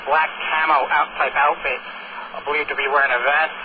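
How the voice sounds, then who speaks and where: A man reports from the field over police radio in terse procedural language. His voice is a little high, squeezed into a thin band with clear noise behind it. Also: level tone, normal speed. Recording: tinny, noisy police radio transmission.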